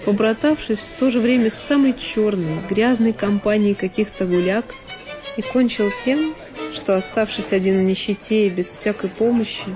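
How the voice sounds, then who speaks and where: A woman's voice: medium pitch, moderate pace. A woman narrating a classic novel as a scripted solo audio performance. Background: music.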